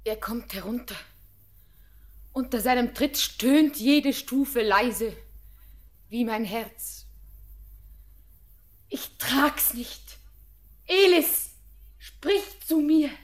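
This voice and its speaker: An actress playing a devoted, despairing young bride in a 1940s radio drama, with halting, fervent, lyrical delivery. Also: female voice, fairly high pitch, expressive. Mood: fearful anguish.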